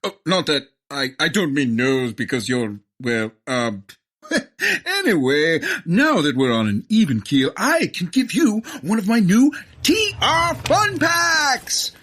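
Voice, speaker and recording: A blustering, wildly swooping police commissioner. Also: male voice, fairly high pitch, sing-song, slow, fairly bright tone, exaggerated voice. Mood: pompous cheer. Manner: pompous, chuckling, exclamatory. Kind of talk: comedic audio drama acting.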